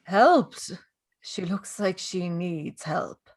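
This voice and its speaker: A woman playing a calm, sceptical character in a drama table read, questioning and unhurried. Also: lowish voice, heavily acted.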